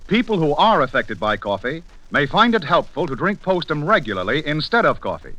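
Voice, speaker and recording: A man's voice: a little high, big pitch swings, fairly quick, sharp tone, no echo, poor audio. A man with an American accent reading a scripted 1940s radio advertisement.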